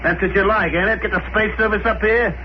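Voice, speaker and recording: An actor playing a bullying, cocky escaped convict with gruff, slangy talk in a 1950s science fiction radio drama. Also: male voice, high-pitched, fairly even intonation, a little fast, slight background noise, close to an everyday voice. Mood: angry, bullying. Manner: gruff, slangy, snapping tough-guy talk. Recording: dull old radio recording with music.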